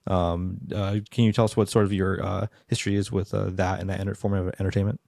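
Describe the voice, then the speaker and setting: A man hosting a podcast, asking questions in a relaxed, rambling way full of ums and likes. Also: low-pitched, quick pace, creaky, dry recording, clean studio sound. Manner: relaxed, filler-heavy, many ums and likes. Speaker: podcast host. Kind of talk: casual podcast interview.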